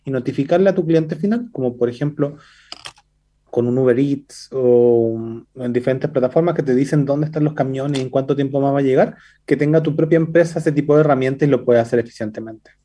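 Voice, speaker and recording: A man in his thirties with a Chilean accent, a startup founder speaking over a video call, fluent and quick with many fillers. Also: mellow. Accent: Chilean Spanish. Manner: fast, fluent pitch, many fillers like "entonces" and "eh". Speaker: startup CEO guest. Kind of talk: business interview by video call. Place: indoor room.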